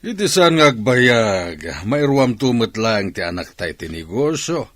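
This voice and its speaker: A man's voice: mid-range voice, sing-song, a little slow, a bit bright, no echo. An actor playing a domineering, business-minded middle-aged father in an Ilocano radio drama, with an Ilocano accent. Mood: pleased, scheming.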